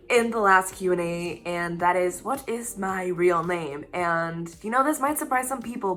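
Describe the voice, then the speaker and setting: A young woman from Spain, a native Spanish speaker, chats through a casual Q&A in English. She rambles, laughs often and keeps an easygoing, talky flow. Her voice is bright and clear, with faint music playing behind her. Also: mid-range voice. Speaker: YouTube channel host. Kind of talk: casual Q&A vlog.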